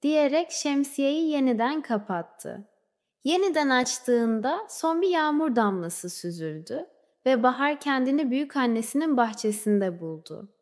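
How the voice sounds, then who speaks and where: A woman narrating a scripted children's fairy tale in a measured storybook way, letting phrases trail off softly. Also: high voice, animated. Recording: long reverberant hall-like echo.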